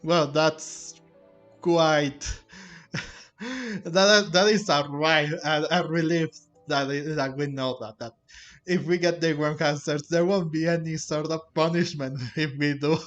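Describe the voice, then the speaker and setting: Man, fairly high voice, slow halting pace, casual gaming commentary, repetitive phrasing. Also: fairly even intonation, highs cut off, soft background music. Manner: halting, repeats himself, chuckly. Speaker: gaming video host. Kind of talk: casual let's play commentary.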